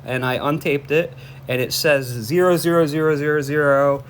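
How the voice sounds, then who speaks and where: A man phoning in a complaint, explaining it in a halting, repetitive and insistent way. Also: a little high, fairly even intonation. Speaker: caller reporting a suspicious order to police. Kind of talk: phone conversation, explaining a complaint. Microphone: phone. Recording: noisy phone call recording.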